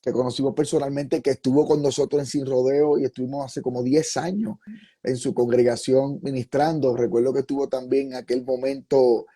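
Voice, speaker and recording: A man's voice: medium pitch, steady tone, a little fast, dark tone, breathy edge. A man who preaches fervently with repeated praise phrases, then hosts an interview as a pastor.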